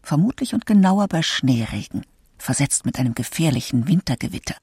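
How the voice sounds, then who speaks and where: Husky higher-pitched man, very dry. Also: expressive, a little fast, darkish tone, no room sound. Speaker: reader of quotations.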